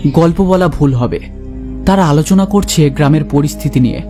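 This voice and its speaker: A man's voice: on the high side, moderate pace, music in the background. A man narrating a scripted, dramatic ghost story for a cartoon.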